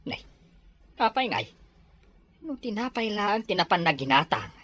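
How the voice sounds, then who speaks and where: A woman's voice: mid-range voice, big pitch swings, unhurried, a bit bright. A woman acting a practical older spouse who chides and reassures in a radio drama. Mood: grumbling.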